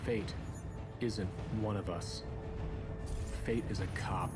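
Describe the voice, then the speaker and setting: A defiant, brooding outlaw racer in a video game cutscene. The voice sits fairly low and moves very slowly, with solemn, calculated weight on each short phrase. Loud music plays behind it. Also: male voice, fairly even intonation. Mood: accusing, cold. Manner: measured, deliberate, solemn delivery in short phrases. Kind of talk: dramatic scripted cutscene monologue.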